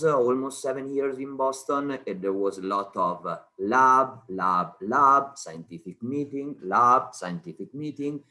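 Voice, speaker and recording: A man from Italy with an Italian accent, a research scientist giving an informal presentation in halting, self-deprecating English. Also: fairly low pitch, fairly bright tone. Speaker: research scientist, guest speaker.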